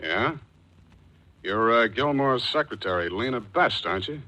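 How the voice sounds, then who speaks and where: An actor playing a wisecracking, brusque private detective, with clipped, cynical delivery and first-person narration in 1940s radio style. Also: male voice, medium pitch, lively intonation, a little slow, bright, forceful tone. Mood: probing. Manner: clipped, terse questioning. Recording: 1940s radio drama with music.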